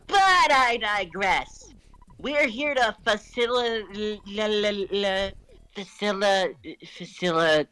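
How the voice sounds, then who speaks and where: A man's voice: high-pitched, lively intonation, slow, sharp tone, smooth, faint music behind, heavily acted. A man voicing a smug, bratty young turtle prince who hosts a web show, in a theatrical, pompous, emphatic cartoon manner. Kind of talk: cartoon voice acting in a fan story reading.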